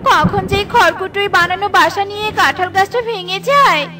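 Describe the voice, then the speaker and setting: A bossy crow wife from a children's cartoon, played by a woman. The high voice nags and grumbles at a husband. Music plays clearly behind. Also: normal speed. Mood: worried, complaining. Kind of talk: children's moral-story cartoon.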